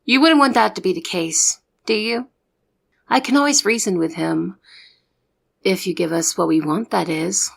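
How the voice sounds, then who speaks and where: A woman's voice: mid-range voice, moderate pace, creaky. A woman playing a young trainee secret agent in an audio roleplay, the good cop who reasons and coaxes. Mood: coaxing, stern. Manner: persuasive, reasoning, exasperated with partner. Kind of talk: ASMR roleplay audio drama.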